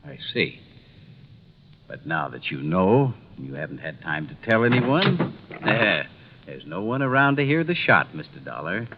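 A man's voice: on the low side, very animated. An actor playing a scheming, indignant businessman killer in a 1950s radio drama, blustering and theatrical. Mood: menacing. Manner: blustering, theatrical, halting under pressure. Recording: muffled, noisy 1950s radio recording.

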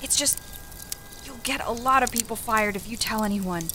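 A woman's voice: fairly high pitch, normal speed, sharp tone, a little hiss or hum. An actress playing an earnest young American woman labor organizer in an audio drama, impassioned, idealistic and persuasive. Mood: anxious. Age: young adult.

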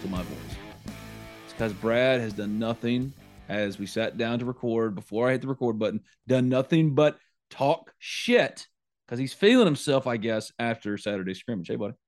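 A man hosting a casual sports podcast, teasing his co-host in a lively, animated way. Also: moderate pace, soft background music. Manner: teasing, animated, jumps high when mocking.

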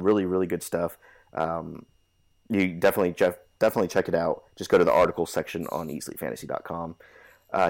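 A nasal man with a cold, hosting a podcast in a loose, rambling chat full of fillers. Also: low-pitched. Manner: rambling, many fillers and restarts. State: stuffy from a cold. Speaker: podcast host. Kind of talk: casual chat.

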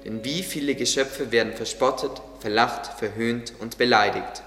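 A man's voice: medium pitch, normal speed, a bit bright, close to an everyday voice. Man as a patient gardener, echoing hall. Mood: solemn. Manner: patient, solemn, reassuring. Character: calm, caring male gardener, gently guiding. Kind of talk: German religious audio drama acting. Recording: echoey hall with music underneath.

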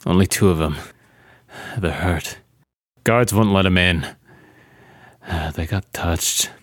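A man's voice: sits low, slow. A man playing a grim camp guard in an audio drama, reporting in terse, clipped phrases. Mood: grave. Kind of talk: naturalistic audio drama acting.

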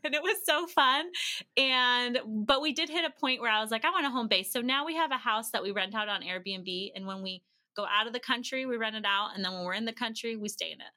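A woman guest on a podcast, telling stories in a casual, animated way and acting out bits of dialogue. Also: on the high side, brisk, no echo, studio quality. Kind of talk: casual conversational storytelling.

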